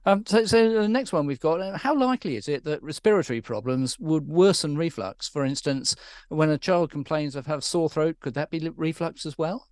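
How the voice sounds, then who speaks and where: A man's voice: fairly high pitch, moderate pace, darkish tone, no echo, clean studio sound. A British man in his sixties reading out questions politely and a little hesitantly, on a headset in a video call. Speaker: question reader. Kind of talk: moderating an online Q&A.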